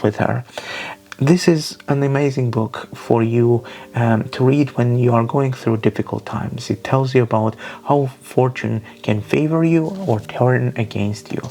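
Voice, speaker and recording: Young guy w talking books, gravelly low voice, lots of ums and restarts. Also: dark tone, rough recording. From Armenia. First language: Russian. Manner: thoughtful, earnest, with frequent fillers and restarts. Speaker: book vlogger. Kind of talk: casual book recommendations.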